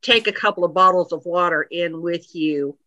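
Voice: medium pitch, moderate pace, sharp tone, no echo. A speaker giving friendly practical advice in a chatty way, explaining step by step with many ums. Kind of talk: casual advice chat. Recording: dull lo-fi audio.

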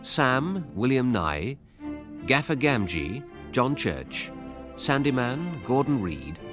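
A man's voice: medium pitch, sing-song, slow pace. A man reading cast credits as a radio announcer in a formal, scripted broadcast style. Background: fiddle music.

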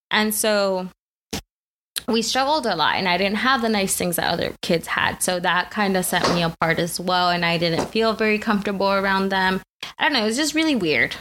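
A woman's voice: fairly low pitch, a bit bright, slight background noise. A young woman co-hosting a podcast, born in Mexico and raised in South Texas, talking casually with many fillers and restarts. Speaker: podcast co-host. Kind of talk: casual chat.

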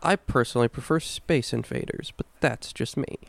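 A man's voice: medium pitch, normal speed, gravelly, no room sound. A man co-hosting a podcast, chatting casually about video games and swearing freely. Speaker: podcast co-host.

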